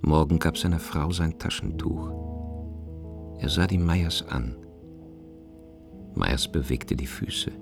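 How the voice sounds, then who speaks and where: A man narrates a literary radio play in an extremely deep voice with a gravelly creak. Plucked guitar music plays behind him. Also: slow pace, long pauses.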